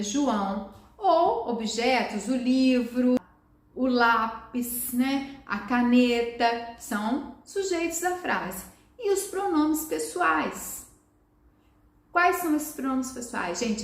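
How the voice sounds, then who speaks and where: Woman teacher going slow, high voice, spells out example words one by one, kinda echoey room. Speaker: Portuguese teacher. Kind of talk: relaxed video lesson.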